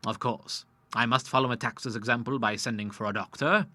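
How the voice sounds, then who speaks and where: A man's voice: mid-range voice, fairly even intonation, brisk, bright, forceful tone, no echo. A man voicing an amiable, polished old lawyer who sprinkles his talk with Latin. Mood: genteel. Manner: genteel, drops Latin phrases. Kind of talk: audiobook character voice.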